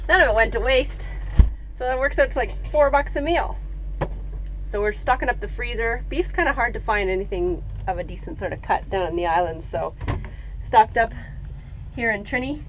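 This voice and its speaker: A woman's voice: on the high side, moderate pace, slight background noise, rough recording. A middle-aged woman chatting casually to camera in a small boat cabin. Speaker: travel vlog host. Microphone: action camera at arm's length.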